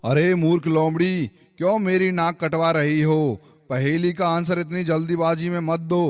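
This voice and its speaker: Man voicing a higher-pitched solemn cartoon lion king. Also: fairly even intonation, normal speed, natural voice. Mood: scolding. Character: solemn, fair-minded old lion king of the jungle. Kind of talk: animated moral story acting.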